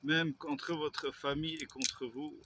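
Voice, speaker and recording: A man's voice: fairly high pitch, fairly even intonation, slow pace, noisy background. A man, vlogging in a rambling way, with hesitations and self-corrections. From Belgium. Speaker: YouTuber. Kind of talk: casual vlog monologue.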